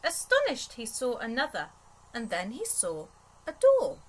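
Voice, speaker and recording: Young female storytime reader, higher register, slow expressive delivery for small children, recorded in a bedroom. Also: a little hiss or hum, poor audio. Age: young adult. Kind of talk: expressive read-aloud of a rhyming picture book.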